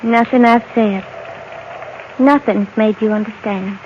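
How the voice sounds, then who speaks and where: A woman's voice: a little high, steady tone, takes it slowly, darkish tone. An actress playing a proud, strange, intense young frontier woman with a direct, challenging manner, in an old radio western. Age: young adult. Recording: dull, noisy old radio recording.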